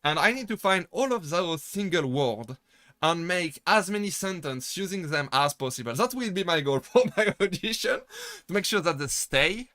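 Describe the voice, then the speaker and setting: A man with a French accent, chatting casually on a live stream and rambling through halting restarts and self-deprecating asides. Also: high voice, lively intonation, pushed and bright, no room sound. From France. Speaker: VTuber streamer.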